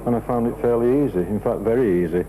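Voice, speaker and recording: Man, interviewee, halting, extremely dark low tone, faint noise on old recording. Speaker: interviewee, amateur plane builder. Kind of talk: unscripted interview talk. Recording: noisy old TV broadcast, faint hum.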